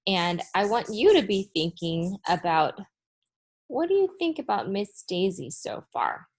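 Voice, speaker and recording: A woman with an unhurried pace speaks as a teacher to young listeners. She addresses them directly and expressively, in the style of a storybook read-aloud. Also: medium pitch, very animated. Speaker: teacher reading aloud to students.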